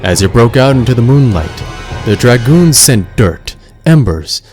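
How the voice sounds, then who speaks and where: A man narrating a scripted story in a measured, suspenseful, dramatic style. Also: medium pitch, sing-song. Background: loud music.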